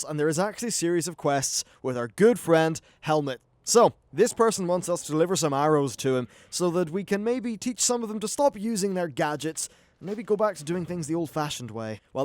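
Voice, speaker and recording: A man's voice: a little high, no room sound. A man giving casual live-play game commentary in a chatty, wry, casually sweary way. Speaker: gaming video host. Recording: clear background noise and game ambience.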